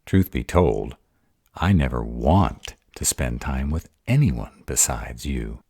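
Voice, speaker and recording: A man's voice: very deep voice, sing-song, takes it slowly, vocal fry, dark tone, husky, no room sound, clean studio sound, exaggerated voice. A man playing a grumpy, insecure were-dragon in a romantic roleplay audio drama, hesitant and defensive as he courts his mate. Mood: grumpy, fond. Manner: hesitant, defensive, self-doubting.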